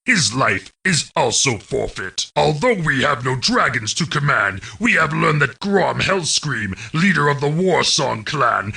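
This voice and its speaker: A man playing a menacing orc narrator in a video game, gravely briefing a war commander in a gravelly, commanding, deliberate delivery. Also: mid-range voice, bright, forceful tone, far from the mic, exaggerated voice. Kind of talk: scripted game campaign narration.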